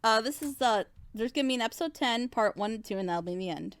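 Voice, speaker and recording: A woman's voice: fairly high pitch, lively intonation, quick pace. A woman hosting a casual, chatty online group reaction show. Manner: nervous. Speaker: animator. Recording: music playing behind the voice.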